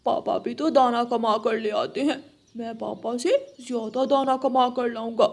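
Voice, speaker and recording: A woman's voice: on the high side, steady tone, unhurried. A woman voicing a naughty young boy crow in a children's moral cartoon, pleading and whiny. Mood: eager, defiant.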